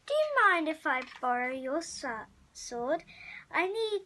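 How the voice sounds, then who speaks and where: A child reading a picture storybook aloud expressively, acting out the hero and halting over some words. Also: very high voice, big pitch swings, pushed and bright. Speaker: story reader.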